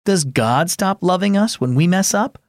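A man's voice: sits high, lively intonation, normal speed, dry recording, studio quality, pitched above the performer's own voice. A man voicing a kind, forgiving father in a storybook reading. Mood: reassuring. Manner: soft, reassuring.

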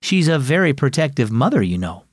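A man voicing a gentle, patient mother who explains things reassuringly in a children's story. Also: mid-range voice, sing-song, fairly quick, slightly rough, no echo, clean studio sound. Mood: gently cautioning.

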